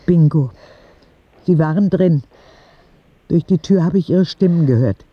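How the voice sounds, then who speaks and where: A man's voice: fairly high pitch, a little slow, husky, dry recording, lo-fi sound. A man playing a frank, cheeky ten-year-old boy who tells his story in candid, wry audio drama narration, in standard German. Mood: hushed, shaken.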